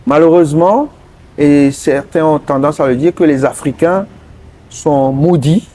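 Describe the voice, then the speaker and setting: Guy in an interview, kinda high voice but soft and warm, stops to find words a lot. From Togo. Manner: earnest, pauses often mid-sentence to find words. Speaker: interview guest, politician. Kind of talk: earnest interview answers.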